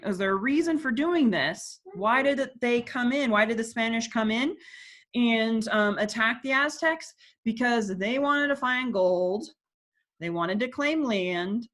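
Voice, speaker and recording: A woman's voice: a little high, a bit bright. A woman teacher reading a textbook section aloud and stopping to explain terms and put questions to her class.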